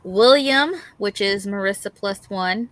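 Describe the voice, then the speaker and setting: A bright, forward voice from a middle-aged woman. She sits at an average female pitch and chats in a folksy way, as a livestream host talking with viewers would. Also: unhurried, slight background noise. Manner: chatty, folksy, reading out and greeting chat. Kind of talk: casual livestream chat.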